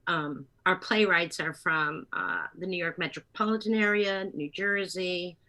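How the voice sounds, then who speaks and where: A woman with a slightly low voice speaks as a theater producer in an interview. She is conversational, sometimes reading from notes, and often pauses or corrects herself as she goes. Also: fairly even intonation, pushed and bright.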